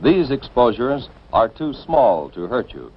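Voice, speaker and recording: An American man reading scripted 1950s instructional film narration in an authoritative, deliberate manner, addressing the listener directly and emphatically. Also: medium pitch, very animated, slightly rough. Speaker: narrator of civil defense educational film. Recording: dull band-limited vintage film soundtrack.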